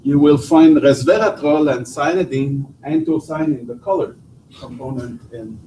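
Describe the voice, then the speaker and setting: A man's voice: medium pitch, warm tone, recorded from a distance, slight background noise. French-accented man lecturing in a roomy hall. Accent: Québécois French. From Quebec, Canada. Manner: halting, many restarts and fillers. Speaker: guest lecturer, professor. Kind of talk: academic seminar talk with slides. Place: lecture room.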